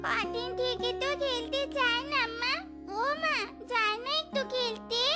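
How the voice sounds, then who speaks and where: A woman's voice: very high voice, fairly even intonation, a little slow. A woman voicing a stubborn, playful little fox cub girl in a cartoon, pleading in a childish whine. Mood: pleading, whining. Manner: childish whining, repeated pleas. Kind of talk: cartoon dialogue. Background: children's music.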